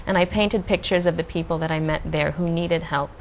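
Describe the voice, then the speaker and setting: A young adult woman from the Philippines, a guest answering interview questions in a calm, measured way. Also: on the low side, rough recording. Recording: faint background noise.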